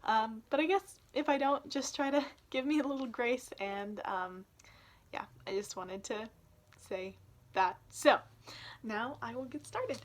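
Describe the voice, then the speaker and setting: A young adult woman reading a children's picture book aloud in an informal storytelling manner. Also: on the high side. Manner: informal, pauses to point out illustrations.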